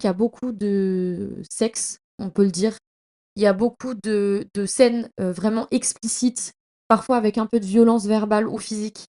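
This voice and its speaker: A young woman chatting casually as a podcast host, in a slangy, effusive and emphatic way, often halting and stumbling over her words. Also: medium pitch, mellow. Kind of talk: casual, chatty monologue about reading.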